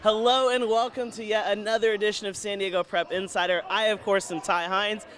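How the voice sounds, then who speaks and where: A man hosting a high school sports show with upbeat presenter patter. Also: level tone, a little fast, a bit bright, soft background music.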